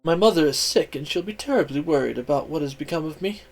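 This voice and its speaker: A man's voice: fairly high pitch, lively intonation, fast talker. A man voicing a small male mouse who begs politely for help but is secretly sly. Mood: pleading. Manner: polite, wheedling, overly courteous.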